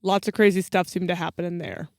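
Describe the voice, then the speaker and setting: A woman's voice: lowish voice, fairly even intonation, quick pace, darkish tone, no room sound, studio quality. A woman hosting a folklore podcast in a casual, chatty style from a small recording closet. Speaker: podcast host. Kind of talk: casual chat about folklore.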